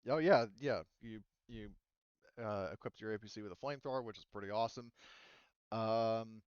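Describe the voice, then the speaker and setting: Clear-voiced man running a tabletop game. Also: on the low side, normal speed, no echo. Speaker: game master. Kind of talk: casual talk running a tabletop roleplaying game. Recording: slightly dull, dry close microphone.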